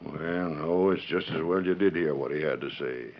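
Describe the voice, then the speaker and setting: A man's voice: extremely low pitch, normal speed. An actor playing a crusty, blustering middle-aged sheriff and exasperated father in a muffled 1940s radio western. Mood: grumbling. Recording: muffled 1940s radio recording, faint noise.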